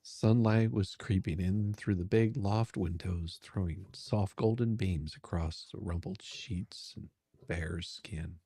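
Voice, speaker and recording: A man narrating a steamy romance in drawn-out, amused phrases on a close microphone. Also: deep voice, dark tone, husky, no echo. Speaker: narrator and reader. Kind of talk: dramatic reading of a steamy romance.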